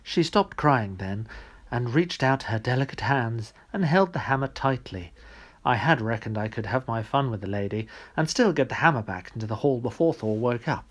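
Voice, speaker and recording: A wily fox god from a storybook, voiced by a male reader. He recounts his exploits with smug, boastful relish. The pitch stays in a middle male range. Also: lively intonation, normal speed, natural voice. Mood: smug recounting.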